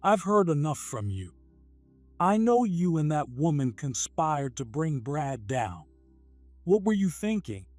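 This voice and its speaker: A man's voice: fairly high pitch, lively intonation, normal speed, darkish tone, no room sound, lightly acted. A man acts out an older company president in a dramatic story, stern and authoritative. His angry, commanding voice rises and falls widely over faint music, recorded close and dry. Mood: cold fury. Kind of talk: scripted dramatic story dialogue.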